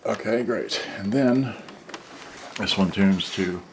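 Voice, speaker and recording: Low-voiced man, casual gadget demo, halting, thinking aloud, roomy sound, loud background noise. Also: distant mic. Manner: halting, muttering, thinking aloud while handling gear. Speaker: repairer demonstrating cassette players. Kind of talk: casual unscripted product demonstration. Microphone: camera on tripod at some distance.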